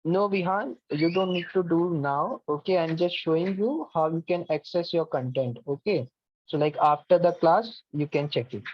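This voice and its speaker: A man's voice: a little high, normal speed. A man walks students through an online course platform, patiently giving step-by-step instructions and often saying "okay". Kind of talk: online class platform walkthrough. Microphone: video call mic. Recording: dull lo-fi video call, dry.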